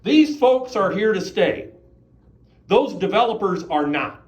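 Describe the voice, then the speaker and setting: Man, higher voice, punchy rhetorical public commenter. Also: animated, a little slow. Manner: emphatic, rhetorical. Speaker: citizen speaking at a county meeting. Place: county meeting room.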